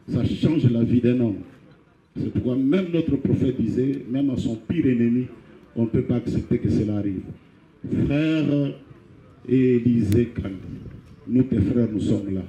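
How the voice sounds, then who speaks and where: A middle-aged Congolese pastor preaching a funeral sermon, telling a parable and lifting his pitch on key phrases. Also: warm tone, reverberant, lo-fi sound. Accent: Congolese French.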